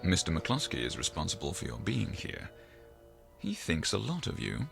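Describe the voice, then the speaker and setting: An actor playing a learned, probing psychiatrist in an audio drama, speaking in a deliberate, formal, professorial way. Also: male voice, low voice, wildly swooping pitch, creaky, fairly bright tone, faint music behind, full character voice. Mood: calm, probing.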